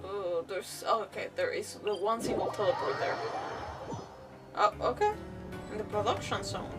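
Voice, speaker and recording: A woman's voice: mid-range voice, normal speed, recorded from a distance. A woman livestreaming a video game with casual, playful commentary, often muttering to herself and breaking off mid-thought. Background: game music.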